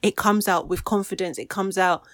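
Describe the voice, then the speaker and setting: A woman's voice: medium pitch, steady tone, moderate pace, a bit bright, dry recording. Woman, candid and thoughtful, dry close recording, casual chat.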